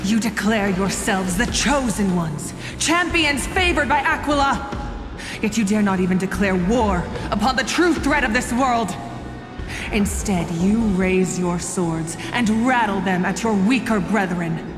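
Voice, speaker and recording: An actress playing a proud, righteous young warrior in a video game, declaiming in a commanding, formal way. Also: female voice, mid-range voice, animated, measured pace, natural voice. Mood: scornful, righteous. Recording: echoey hall, music behind.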